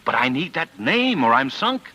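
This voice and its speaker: An actor playing a harried film producer husband, earnest and placating, in 1940s radio drama style. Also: male voice, medium pitch, big pitch swings, normal speed, pushed and bright. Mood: pleading.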